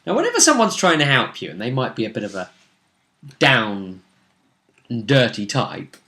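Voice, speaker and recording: Bright, forceful-voiced man chatting casually. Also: medium pitch, huge pitch swings, normal speed. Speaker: game master recapping a tabletop session. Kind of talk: casual chat.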